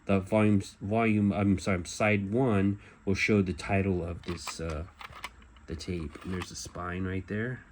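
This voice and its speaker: A middle-aged man giving a casual show-and-tell as a collector, talking in a halting, rambling way and often correcting himself. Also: sits low, steady tone. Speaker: collector presenting memorabilia. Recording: clear background noise.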